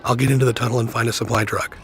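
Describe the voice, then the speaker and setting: An actor playing a steady, resolute male special-ops agent in a video game, giving terse orders. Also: medium pitch, very fast, a little hiss or hum, lightly acted. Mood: terse, calm. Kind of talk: video game cutscene voice acting.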